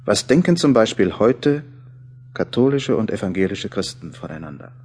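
A man's voice: lowish voice, very animated, moderate pace, darkish tone, slight background noise. A man playing a thoughtful, mildly doubtful journalist who muses and questions himself over his diary in a German radio play. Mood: reflective, sobered. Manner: musing, self-questioning.

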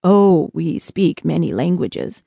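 Woman voicing a slow, dignified spaceman leader. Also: lowish voice, lively intonation, creaky, husky, dry recording, heavily acted. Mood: polite. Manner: slow, formal, polite. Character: dignified, kindly, proud spaceman leader, formal and unhurried.

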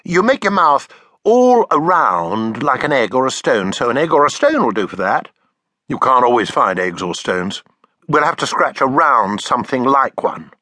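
A man reading aloud and voicing an eager, excitable little cave girl in a storybook, chirpy and breathless with excitement. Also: mid-range voice, normal speed, no echo, clean studio sound, voice pushed up. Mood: excited.

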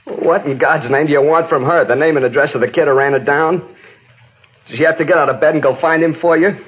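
An actor playing a distraught, exhausted, bitter husband in an emotional 1950s radio drama style. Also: male voice, a little high, fairly even intonation, a little fast, breathy edge. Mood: angry, frustrated. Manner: anguished, repetitive, emotional. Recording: dull, muffled 1950s radio recording.

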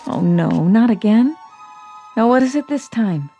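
A woman's voice: fairly high pitch, lively intonation, measured pace, gravelly, warm tone, dull audio, soft background music. An actress playing a practical, chatty, weary wife in a radio drama, a little dramatic in her delivery. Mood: breezy, pointed.

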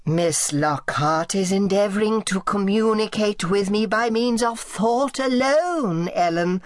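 A woman voicing a spiteful widow in her forties who plays a frail old tyrant, with a whining, creaking voice and slow, sarcastic speech. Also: mid-range voice, dry recording, clean studio sound, natural voice. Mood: mocking.

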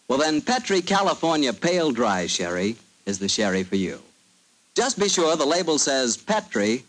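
Male 1940s radio announcer, folksy salesman delivery, lively. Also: medium pitch, rough recording.

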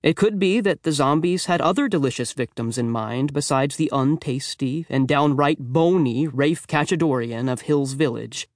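Higher-voiced man reading a children's audiobook. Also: normal speed, few pauses, no echo, studio quality. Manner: wry, conversational, youthful first-person delivery. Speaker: audiobook narrator. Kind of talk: expressive scripted narration of a children's book.

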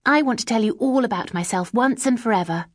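A woman voicing a lively, proud young woman of modest means, speaking eagerly and with spirit. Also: on the high side, fairly quick, no echo, studio quality, voice pushed up, lightly acted.

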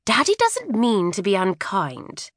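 A woman voicing a firm but kindly middle-aged mother who speaks calmly and reasonably. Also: mid-range voice, a little slow, no echo, clean studio sound, pitched above the performer's own voice. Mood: soothing. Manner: calm, firm, soothing.

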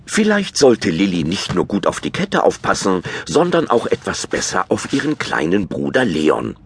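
A man's voice: low-pitched, wildly swooping pitch, normal speed, slight background noise. A man reading scripted narration for a children's audio drama.

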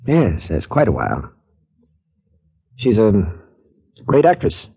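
A husky, guarded theater director. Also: male voice, lowish voice, wildly swooping pitch, slow, warm tone, highs cut off, heavily acted. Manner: hesitant, polite. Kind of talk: 1940s radio acting.

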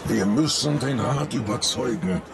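An actor playing a grave, urgent ally with a gravelly voice in a dark fantasy audio drama. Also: male voice, mid-range voice, lively intonation, a little slow, noisy background. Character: grave, urgent male ally urging focus. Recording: loud ominous music behind the voice.

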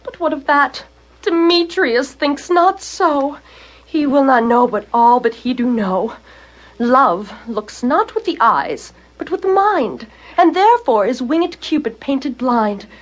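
A woman's voice: high voice, animated, takes it slowly, rough recording. An actress playing a lovesick, envious young Athenian woman in Shakespearean audio theater, speaking verse plaintively and emphatically. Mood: wistful. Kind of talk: full-cast Shakespearean audio theater acting.

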